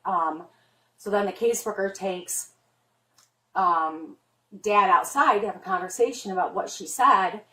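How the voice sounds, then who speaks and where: A middle-aged mother explains things to an officer in a rambling, anxious way, leaning on "like" after every few words. Her voice sits at an ordinary middle pitch. It is picked up from a few steps away by a body camera in an echoey room. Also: unhurried, sharp tone. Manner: rambling, heavy use of "like" fillers, earnest and worried. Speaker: mother reporting to police. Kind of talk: spoken statement to an officer. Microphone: police body camera worn by an officer. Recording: roomy, distant body camera audio.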